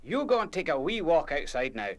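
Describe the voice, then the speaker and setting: A man playing a kindly, gently reproachful music teacher in an audio drama. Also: fairly high pitch, sing-song, brisk. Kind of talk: full-cast audio drama acting.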